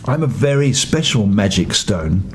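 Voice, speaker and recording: A man's voice: fairly low pitch, big pitch swings, unhurried, slight background noise, voice pushed down. A man voicing a grumpy, self-pitying and proud talking magic stone in a storybook, with an indignant, complaining manner. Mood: sad, proud.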